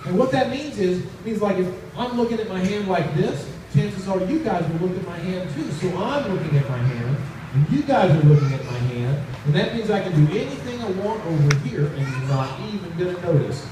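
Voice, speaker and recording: A high-pitched man works as a children's magician, repeating his phrases in theatrical, teasing build-ups. He is recorded from a distance in a very echoey space, with clear noise around him. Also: expressive, a little fast. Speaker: children's puppet show magician and host. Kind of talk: live children's magic show patter.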